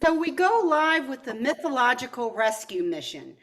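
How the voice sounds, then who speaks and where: A woman's voice: high voice, very animated, normal speed, fairly bright tone, exaggerated voice. An older woman playing an assertive, ambitious artistic wife with a dramatic, bossy manner in an amateur radio comedy. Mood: impatient, rousing.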